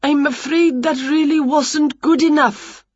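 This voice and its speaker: A woman's voice: high-pitched, fairly even intonation, measured pace, darkish tone, no room sound, lo-fi sound, voice pushed up. A woman voicing a fussy, weary, worried male choir conductor in a children's storybook, flustered and hesitant. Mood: weary, faint.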